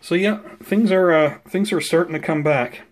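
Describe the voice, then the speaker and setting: A man giving a casual, unscripted plant update in an unhurried, explanatory, conversational way, recorded indoors on a handheld camera. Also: on the high side. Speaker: host of a plant-growing video channel. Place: indoors at home beside potted seedlings.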